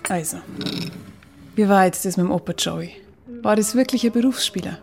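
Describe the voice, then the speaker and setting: A woman's voice: medium pitch, moderate pace, vocal fry, a little mellow, a little hiss or hum, lightly acted. An actress playing a shy, bubbly young female student with a Bavarian accent and a hesitant, colloquial manner in a radio drama. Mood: playful, curious. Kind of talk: naturalistic radio drama acting. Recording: faint music behind the voice.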